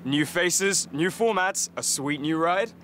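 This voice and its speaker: A man's voice: fairly high pitch, lively intonation, slow, a bit bright, a little hiss or hum. Upbeat young male TV host. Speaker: TV show host. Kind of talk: scripted presenting. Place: outdoors at a racing circuit. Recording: music playing under the voice.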